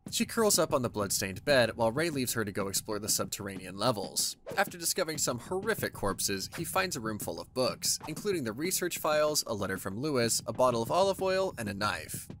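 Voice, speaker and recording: A man's voice: mid-range voice, very animated, brisk, few pauses, fairly bright tone, slight background noise. A man narrating a scripted story recap in a casual, conversational way with wry asides.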